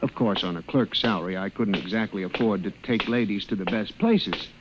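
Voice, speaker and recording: An actor playing a timid, hesitant middle-aged male clerk who narrates and acts in an old-time radio drama, with an apologetic, quietly resentful manner. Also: fairly low pitch, lively intonation, a little fast, background noise, natural voice. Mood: wistful, bitter. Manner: hesitant, meek, deferential. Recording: old radio broadcast with music bed.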